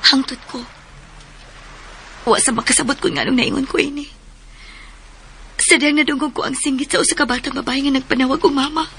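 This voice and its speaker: A woman's voice: sits high, fairly even intonation, a little fast, pauses often, pushed and bright, slight background noise. An actress voicing a troubled young married woman haunted by a child's cry, pleading and tearful in a radio drama.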